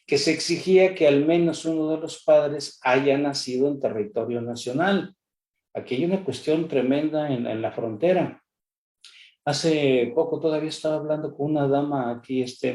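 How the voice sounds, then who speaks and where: Older Mexican man, mellow lecturing voice. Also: mid-range voice. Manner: animated, rhetorical questions, addresses an imagined lawmaker. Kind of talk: conference lecture on law. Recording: echoey room.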